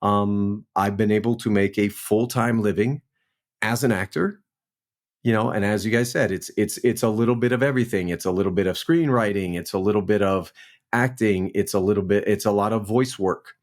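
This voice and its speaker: A man in his forties from New Orleans, a guest actor telling his career story in a relaxed, chatty way on a remote call. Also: lowish voice, moderate pace. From New Orleans, Louisiana. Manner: relaxed, storytelling, frequent "man" and "you know". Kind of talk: casual interview chat.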